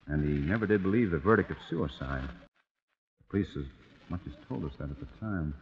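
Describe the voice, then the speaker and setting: An actor playing a wary, skeptical husband in an old-time radio crime drama. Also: male voice, low-pitched, expressive, moderate pace. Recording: muffled, noisy old radio drama recording.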